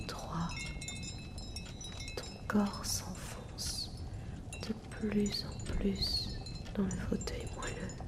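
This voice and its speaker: A woman playing a soothing, motherly hypnotist and colleague in an ASMR audio roleplay, speaking in a hushed, reassuring manner. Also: on the low side. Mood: hypnotic, soothing. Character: soothing, motherly female hypnotist and bar colleague comforting someone.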